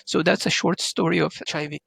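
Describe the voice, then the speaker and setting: Gravelly, husky man from Turkey. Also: fairly high pitch, steady tone, quick pace, a bit bright, dry recording. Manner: explanatory, frequent fillers and restarts. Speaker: guest, pharma digital manager. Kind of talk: interview answers.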